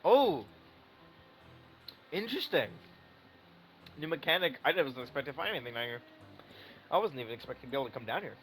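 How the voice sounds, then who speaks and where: A man's voice: medium pitch, very animated, brisk, long pauses, a bit bright, soft background music. A man giving casual gameplay commentary as a streamer, thinking aloud in an informal, sweary way. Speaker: video game streamer.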